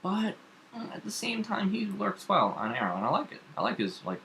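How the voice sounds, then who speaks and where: A man's voice: high voice, huge pitch swings, brisk, vocal fry, bright, forceful tone, a little hiss or hum. A man talking as a podcast co-host in casual, opinionated pop culture chat, informal, rambling and emphatic.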